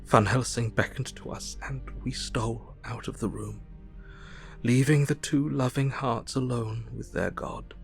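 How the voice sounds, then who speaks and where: A man playing a sombre, compassionate Victorian gentleman, narrating a diary in measured tones for an audio drama. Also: medium pitch, steady tone, creaky, faint music behind. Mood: moved, sorrowful.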